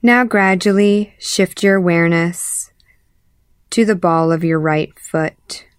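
Slow, smooth-voiced woman guiding meditation. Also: fairly low pitch, no echo, clean studio sound. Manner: calm, measured, pausing between short phrases. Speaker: meditation instructor. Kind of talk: guided body scan meditation.

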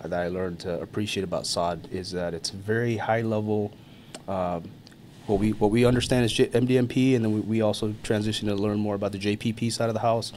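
A man's voice: lowish voice, darkish tone. Easygoing man, faint background hiss. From born in Thailand, raised in America. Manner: easygoing, chuckles often, many fillers and restarts. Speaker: army NCO guest. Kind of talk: casual interview talk.

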